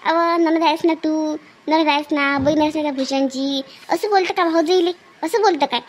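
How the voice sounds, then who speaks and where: A woman acting as a stern older cartoon mother speaks in a very high voice with a Vidarbha Marathi accent. She is reproving and insistent, repeating herself as she scolds. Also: steady tone, normal speed, slight background noise, natural voice. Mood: scolding. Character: stern older mother defending her daughter-in-law. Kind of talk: amateur cartoon family drama.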